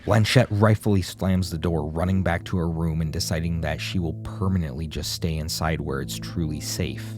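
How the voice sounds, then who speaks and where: Low voiced guy telling a creepy horror game story, dramatic and ominous, music playing under it. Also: steady tone, moderate pace, hardly any pauses. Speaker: video essay narrator. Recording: music behind the voice.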